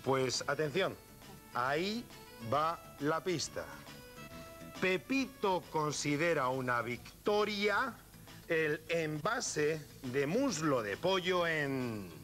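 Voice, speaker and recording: High-voiced male game show host from Spain. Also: very animated, takes it slowly, a bit bright. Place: large supermarket TV studio set. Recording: 1990s TV broadcast, music behind. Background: music.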